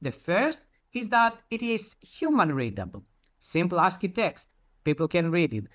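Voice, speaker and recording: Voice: mid-range voice, sing-song, moderate pace, fairly bright tone. A presenter giving an explanatory talk in a didactic way, posing questions and then answering them.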